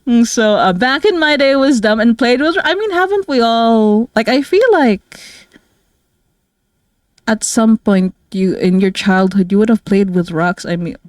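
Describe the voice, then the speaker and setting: A woman's voice: fairly high pitch, measured pace, dry recording. Giggly rambling woman streamer, dry sound. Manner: rambling, giggly, filler-heavy. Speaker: streamer and artist. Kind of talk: casual livestream chat.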